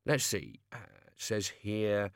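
A man's voice: fairly low pitch, lively intonation, slow, gravelly, no echo, studio quality, heavily acted. A man voicing an old male servant in a grumbling, matter-of-fact way.

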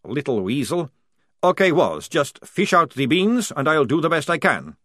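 Guy doing a storybook soldier who moans and drags his words out, pretty lively pitch. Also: dry recording, studio quality. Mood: moaning. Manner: moaning, drawn-out. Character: weary male soldier desperate for coffee.

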